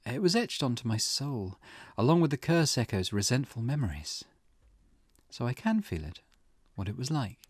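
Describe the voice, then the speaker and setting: A man voicing a formal, intense, vengeful grieving mother from a visual novel in a slow, dramatic delivery. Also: mid-range voice, very animated, dry recording, clean studio sound, voice pushed up. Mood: grave, intense.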